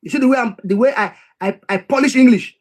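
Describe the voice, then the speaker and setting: A man with a notably high voice works through a history text. He reads a passage, stops to explain it in plain terms, and says key lines twice to drive them home. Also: fast, a little mellow. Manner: reads quoted passages then explains them, repeats key phrases for emphasis. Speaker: history commentator.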